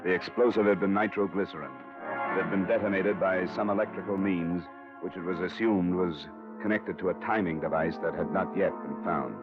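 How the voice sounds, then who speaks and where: Male actor as hard-boiled American detective, low voice, terse, cool, probing, 1950s radio drama, muffled old broadcast. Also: normal speed, natural voice. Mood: terse, matter-of-fact. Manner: terse, matter-of-fact, probing. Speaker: lead actor and narrator. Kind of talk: 1950s radio detective acting, clipped noir narration. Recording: dull vintage 1950s radio broadcast, music behind.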